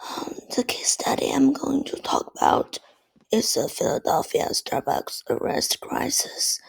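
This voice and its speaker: A woman giving a class presentation in a hoarse, nearly lost voice from a cold, hesitant with many fillers. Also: on the high side, big pitch swings, unhurried, low-quality recording. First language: Chinese. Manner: mostly whispered, hesitant with fillers. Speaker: student presenter.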